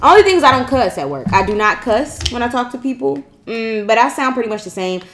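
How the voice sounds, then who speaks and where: A woman's voice: a little high, very animated, brisk, fairly bright tone, reverberant, a little hiss or hum. A woman co-hosting a podcast, talking in blunt casual slang and telling stories with lots of animation. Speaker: podcast co-host. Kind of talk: casual chat.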